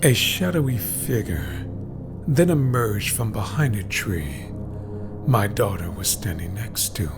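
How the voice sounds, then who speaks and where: A man reading scripted horror stories in a dramatic, deliberate way, with phrases that often trail into a hush. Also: lowish voice, animated. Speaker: horror story narrator. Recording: background music behind voice. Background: music.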